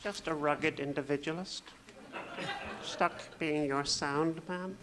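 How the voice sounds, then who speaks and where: A man's voice: on the high side, steady tone, slow. Man playing an aggrieved radio sound man, echoey. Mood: indignant. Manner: formal, indignant, mournful oratory. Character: aggrieved, self-pitying, educated radio sound-effects man. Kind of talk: 1940s radio comedy acting, live stage tribute. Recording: echoey hall with faint noise.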